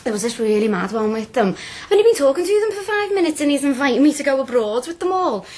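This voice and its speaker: An actress playing a cheeky, eager young working-class student with a Liverpool accent, chatty and blunt, in a radio drama. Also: female voice, high voice, sing-song, fast talker, darkish tone. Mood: animated, chatty.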